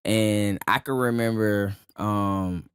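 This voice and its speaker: A man in his thirties with a fairly low voice chats on a podcast in a relaxed, halting way, often stopping to agree. His voice sounds dry and close to the mic. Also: smooth, dry recording. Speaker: podcast co-host. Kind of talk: casual podcast chat.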